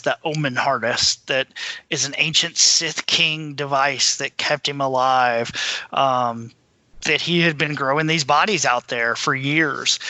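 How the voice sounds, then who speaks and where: A man's voice: medium pitch, bright, forceful tone, no echo. A man co-hosting a podcast, talking casually and with a fan's enthusiasm about films and books. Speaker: podcast co-host. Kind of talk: casual film and book discussion.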